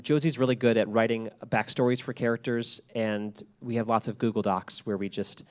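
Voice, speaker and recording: Man explaining storytelling at an informal talk. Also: on the low side. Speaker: guest speaker, podcast creator. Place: live library event.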